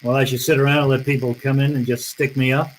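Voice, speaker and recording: An actor playing a wry, tough, unflappable private detective, laconic and sardonic, in old-time radio style. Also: male voice, mid-range voice, level tone, quick pace, a little hiss or hum, close to an everyday voice, low-quality recording. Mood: sardonic, shrewd. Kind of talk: old-time radio drama acting and first-person narration.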